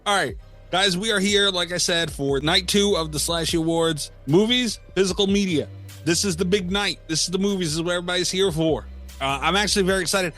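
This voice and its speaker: A man's voice: sits high, fairly quick, fairly bright tone, soft background music. A man hosting a podcast in casual banter, joking with profane exasperation. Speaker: podcast host.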